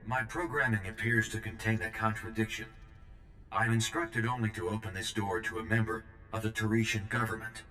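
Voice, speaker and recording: An actor playing an ancient, formal, literal-minded security droid in a video game, with robotic processing on the voice. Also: male voice, on the low side, reverberant, rough recording. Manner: formal, procedural, flat delivery. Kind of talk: game character dialogue.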